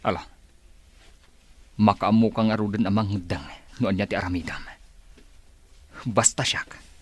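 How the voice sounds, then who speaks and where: An actor playing a loving, weary middle-aged father in an Ilocano radio drama, pleading and breathy with emotion. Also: fairly low pitch, steady tone, unhurried, dry recording. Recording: music bed behind the voice.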